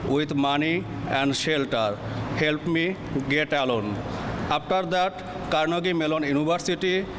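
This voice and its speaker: A man from Bangladesh, a native Bengali speaker with a Bengali accent, giving a prepared personal speech with careful, deliberate phrasing. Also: on the high side, big-room sound. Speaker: poet and writer, guest speaker. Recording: noisy outdoor street with car sounds.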